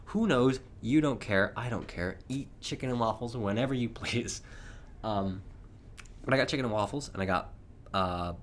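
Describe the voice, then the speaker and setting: A slightly hoarse young man hosting a casual solo podcast, rambling chattily with frequent chuckles. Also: mid-range voice, normal speed, a little hiss or hum. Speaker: podcast host.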